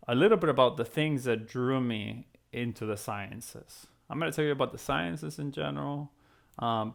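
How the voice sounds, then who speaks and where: Guy in his 30s, creaky mid-pitched voice, explaining stuff to students in an encouraging chatty way. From Venezuela (Caracas). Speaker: physics professor and program co-founder. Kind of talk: explanatory talk to students, conversational.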